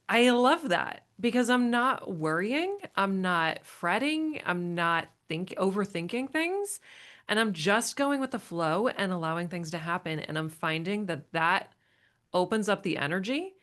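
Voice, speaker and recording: A woman's voice: on the low side, animated, a little slow, fairly bright tone. A woman in her forties, a podcast guest, talking in long reflective runs in a casual interview. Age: 40s.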